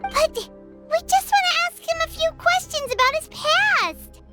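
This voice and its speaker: A woman voicing a tiny, childlike fairy companion in an anime game, squeaky, eager and naive. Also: expressive, measured pace, pushed and bright, soft background music, full character voice. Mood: pleading, hopeful. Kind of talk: cute anime game voice acting.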